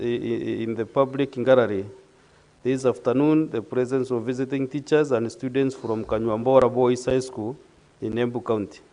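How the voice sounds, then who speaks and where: A man presiding over a senate session, speaking in a measured, formal way with some hesitations. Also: mid-range voice, mellow. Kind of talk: formal parliamentary proceedings. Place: legislative chamber.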